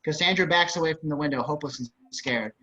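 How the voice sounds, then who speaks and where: A man with a slightly high voice reads scripted stage directions. The pace is quick, and the sound is a bit dull, as on a video call. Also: bright, forceful tone. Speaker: narrator reading stage directions. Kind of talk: scripted narration for a table reading.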